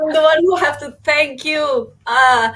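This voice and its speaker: Slow-talking woman host. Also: sits high, a bit bright. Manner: slow, hesitant, many fillers. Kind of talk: casual online interview.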